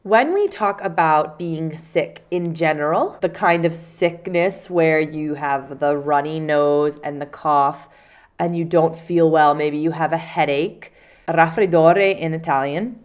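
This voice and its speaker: A woman's voice: low voice, a little mellow. A woman with a cold and a congested, nasal voice, teaching English vocabulary in a casual lesson and acting out short example phrases. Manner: patient, explains with spoken examples. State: stuffy nose from a cold.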